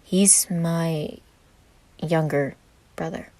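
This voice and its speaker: A woman voicing a shy, soft-spoken young man in a fan fiction reading, halting and careful with words. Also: low-pitched, fairly even intonation, no echo. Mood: guarded. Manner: small-voiced, halting, careful.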